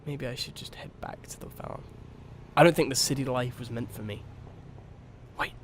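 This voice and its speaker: A man's voice: mid-range voice, moderate pace, slight background noise, close to an everyday voice. A man playing a mopey, anxious horse who dreams of music, rambling and sarcastic in an audio story. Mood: dejected, pondering. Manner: sighing, rambling self-talk, sarcastic. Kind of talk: cartoon-like audio story acting.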